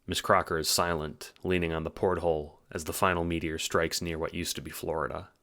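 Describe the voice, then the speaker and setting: A man's voice: low-pitched, little pitch movement, a little fast, a bit bright. A man delivering scripted audiobook narration in a wry, theatrical, playful way.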